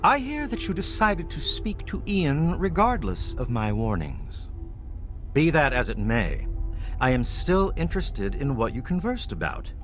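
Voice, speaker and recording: A man voicing a formal, solemn leader of a vampire clan in a video game, speaking in measured, courteous phrases. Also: on the high side, huge pitch swings. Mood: guarded, civil. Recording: music playing behind the voice.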